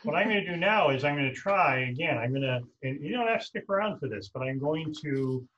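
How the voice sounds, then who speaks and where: A man's voice: on the high side, lively intonation, brisk, a bit bright, muffled recording. A middle-aged man hosting a casual video-call chat from a room at home, loose and rambling as he corrects himself mid-sentence. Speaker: host.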